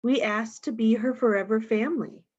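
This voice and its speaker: A woman voicing a calm, comforting father in a children's storybook, speaking softly. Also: a little high, moderate pace, dull audio, close to an everyday voice.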